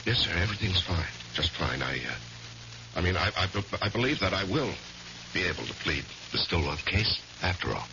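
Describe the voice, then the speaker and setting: An actor playing a haunted, uneasy lawyer with a halting manner in a 1970s radio drama. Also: male voice, deep voice, big pitch swings. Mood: uneasy, deferential. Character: troubled, haunted male lawyer caring for sick wife. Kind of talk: 1970s radio drama acting and first-person narration. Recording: muffled, hissy old radio recording.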